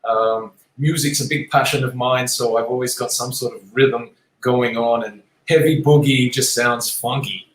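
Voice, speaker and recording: A man in his thirties who grew up in Australia, chatting easily as an interview guest. His voice sits at a middle pitch, and it rings in a roomy, echoey space. His talk is relaxed and a little self-deprecating, and he often pauses with an um. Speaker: guest boxer. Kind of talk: casual interview chat.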